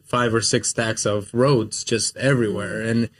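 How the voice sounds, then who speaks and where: A man's voice: fairly low pitch, fairly even intonation, a little slow. A man born in Mexico, a guest on a talk show, chatting casually and rambling with many fillers. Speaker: guest, business owner. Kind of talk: casual chat.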